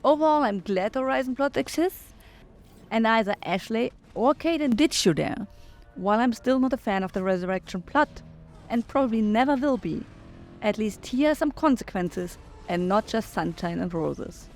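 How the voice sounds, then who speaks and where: A woman's voice: on the high side, lively intonation, normal speed, darkish tone, soft background music. A woman giving her opinions in commentary on a video game playthrough.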